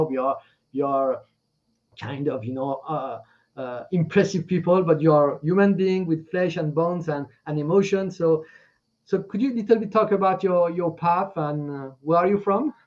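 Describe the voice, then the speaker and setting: High-voiced male host, dull audio. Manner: halting, repeats words, effusive. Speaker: interview host. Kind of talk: live online interview, effusive welcome.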